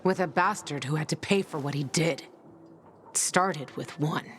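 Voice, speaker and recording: A woman's voice: deep voice, moderate pace, a little hiss or hum, lightly acted. An actress playing a hard-bitten, sardonic young woman in a video game, blunt and guarded. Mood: bitter, downcast. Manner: blunt, dry, profane. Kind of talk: video game character acting.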